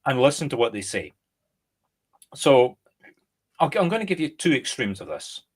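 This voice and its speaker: Animated Scottish man explaining. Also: medium pitch, moderate pace, husky. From Scotland. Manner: animated, explains through examples and acted-out quotes. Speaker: medium, co-host. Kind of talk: casual conversation.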